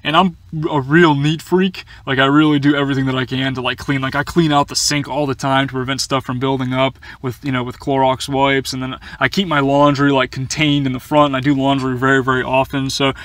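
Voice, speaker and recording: Young male vlogger in a small van, bright voice and a dry close sound, chatty with many fillers and restarts. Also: mid-range voice, little pitch movement, fairly quick, dry recording. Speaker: van-life vlogger answering viewer questions. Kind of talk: casual Q&A chat. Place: inside a small camper van.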